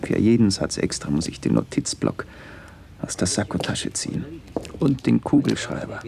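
An actor playing a brooding, wry writer who muses in halting fragments in a radio play monologue. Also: male voice, fairly low pitch, huge pitch swings, crowd noise, full character voice. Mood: musing, melancholy. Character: brooding wandering writer, a man, wry and melancholy. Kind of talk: first-person literary radio play monologue. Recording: clearly noisy ambient radio recording.

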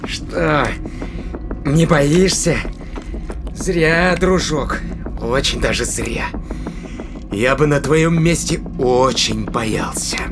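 An actor playing a cruel, obsessive spaceship captain in an audio drama, commanding and taunting. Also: male voice, a little high, drawn-out words, pauses often, music in the background. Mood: menacing, taunting. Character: cruel, obsessive male spaceship captain tormenting a captive ape.

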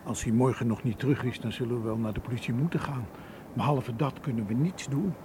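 Man acting careful worried colleague in a radio play, middle pitched voice, warning tone, faint noise. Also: dark tone, close to an everyday voice. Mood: sober, doubtful. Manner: measured, admonishing. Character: cautious, protective male colleague, sober and worried. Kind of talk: Dutch radio drama acting.

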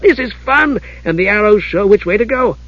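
An eager little storybook imp of a kender, pitched far up from a grown voice. The chatter is curious and singsong, with a slightly husky edge and a dull recorded sound. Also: male voice, expressive, normal speed. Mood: excited, delighted. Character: excitable, curious kender, a small cheerful thief.

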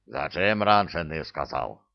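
A man's voice: sits low, sing-song, unhurried, no room sound. A man voicing a naive, exasperated young hero in a comic fantasy audiobook, grumbling sarcastically. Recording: dull lo-fi audio.

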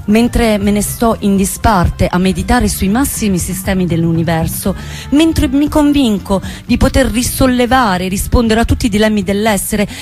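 A woman's voice: mid-range voice, warm tone, natural voice. An actress playing a wry woman who recounts her lovesick teenage years in a dramatic, sardonic theatre monologue. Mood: wistful. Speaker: stage actress.

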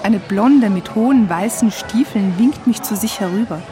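A woman's voice: mid-range voice, moderate pace, crowd noise. A woman giving reflective first-person narration and chatting in the street, with a playful, poetic manner. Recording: music and street sounds behind.

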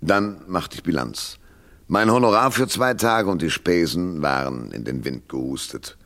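An actor playing a world-weary, hard-boiled private detective in a 1970s German radio drama, sardonic and laconic. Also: male voice, low voice, animated, measured pace, a bit bright, heavily acted. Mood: weary narration. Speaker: lead actor and narrator.

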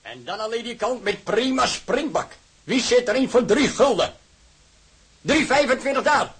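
Casting: a loud auction caller, radio drama, very high raspy voice, projecting to bidders. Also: male voice, animated, normal speed, exaggerated voice. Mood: brisk, businesslike. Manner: calling out, projecting. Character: brisk, loud male auctioneer calling out bids.

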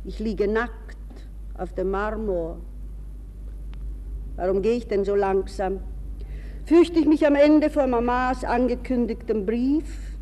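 A restless young lady in a radio monologue. Her voice is fairly high and very mellow, musing and self-questioning with an ironic edge. A long reverberation surrounds it. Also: slow pace, pauses often, a little hiss or hum. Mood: dreamy, then uneasy, mocking. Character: restless, ironic, dreamy young society woman on holiday. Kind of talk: radio drama interior monologue.

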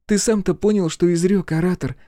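Man putting on a scornful, high-voiced centaur woman. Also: brisk, dark tone, no echo, studio quality, natural voice. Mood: indignant. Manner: indignant, derisive. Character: sharp-tongued, scornful female centaur.

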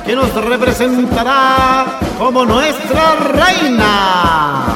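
A man's voice: very high voice, lively intonation, extremely slow, heavily acted. A man with a Chilean accent playing a florid, ceremonious 1920s master of ceremonies in a radio play, announcing grandly. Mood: grandiose. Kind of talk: Spanish-language radio theatre. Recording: echoey, far-off, loud music and crowd.

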